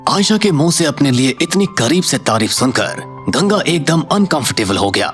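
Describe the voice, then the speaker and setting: Lively male story narrator. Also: on the high side, normal speed, faint music behind. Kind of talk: dramatic scripted story narration.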